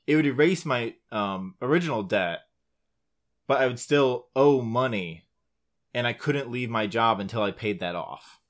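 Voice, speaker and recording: A man's voice: medium pitch, animated, a little slow. Male podcast co-host, informal and sweary, casual gaming chat between friends. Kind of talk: casual chat about video games.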